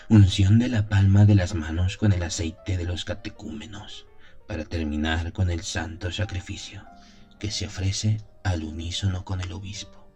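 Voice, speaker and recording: Man acting a deep, dark-voiced devout priest. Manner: solemn, literary, measured confessional delivery. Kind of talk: dramatized first-person gothic story narration. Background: music.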